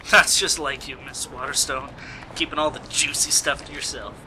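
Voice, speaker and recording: A man's voice: medium pitch, steady tone, normal speed, pushed and bright. Matter-of-fact male police sidekick acted by a man, noisy recording. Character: male police detective, dutiful sidekick reporting to lead. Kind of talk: amateur radio play acting. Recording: noisy amateur home recording.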